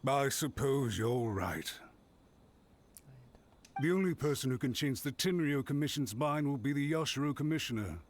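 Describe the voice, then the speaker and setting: A man voicing a highly strung, suspicious retainer of a noble lady in a video game, formal and emphatic. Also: expressive, normal speed, a little hiss or hum, natural voice. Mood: apologetic, pleading. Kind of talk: English video game voice acting.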